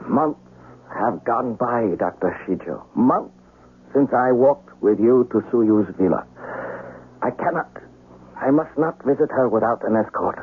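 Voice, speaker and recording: An actor playing a lovesick, proud young samurai with earnest, formal, dramatic delivery in a 1970s radio drama. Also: male voice, mid-range voice, lively intonation, a little slow, slightly rough. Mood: anguished, pleading. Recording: muffled, hissy old radio broadcast.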